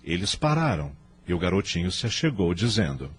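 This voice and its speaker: A man's voice: deep voice, sing-song, fairly quick, slight background noise. A man with a Brazilian accent narrating a story in a scripted, dramatic style.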